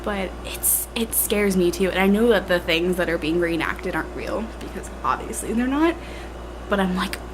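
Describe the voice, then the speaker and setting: A woman's voice: fairly low pitch, lively intonation, normal speed, background noise. Congested young woman rambling to a handheld camera. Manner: chatty, filler-heavy, self-deprecating. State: congested and tired. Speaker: vlogger. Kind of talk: casual rambling vlog. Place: at home indoors. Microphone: handheld camera at arm's length.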